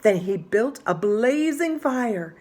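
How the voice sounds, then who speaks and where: A woman reading a children's story aloud as an expressive narrator. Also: on the high side, slow.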